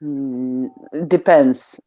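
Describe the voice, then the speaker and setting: A woman's voice: extremely low pitch, very slow, dark tone. A woman in her thirties with a Greek accent, chatting playfully and teasingly as co-host. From Greece. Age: 30s. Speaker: show co-host. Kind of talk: casual chat. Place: at home on a video call. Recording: dull lo-fi call audio.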